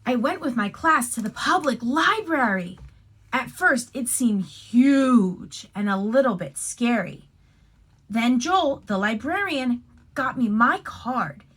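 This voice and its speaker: A woman teacher reading a picture book aloud to young children, expressive and instructive, with casual asides. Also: fairly high pitch, slow pace, slightly rough.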